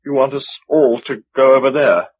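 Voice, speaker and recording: A man's voice: medium pitch, fairly even intonation, moderate pace, slightly rough, natural voice. An actor playing a willing, self-effacing blind man among survivors in a radio drama. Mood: concerned. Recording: dull lo-fi radio recording.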